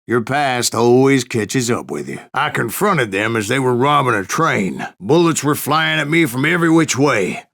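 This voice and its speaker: A stubborn old gunslinger from a Western video game. His voice is full of gravel and fry, with a folksy American West drawl. He tells his tall tales like a cantankerous, foul-mouthed saloon storyteller. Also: mid-range voice, lively intonation, moderate pace, hardly any pauses, fairly bright tone. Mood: grim storytelling. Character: gruff, stubborn old Wild West bounty hunter spinning tall tales. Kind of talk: storytelling narration, rambling saloon yarn.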